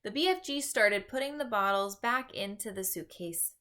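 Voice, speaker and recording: A woman narrating a children's book aloud in an expressive storytelling style. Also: medium pitch, moderate pace. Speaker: storybook reader.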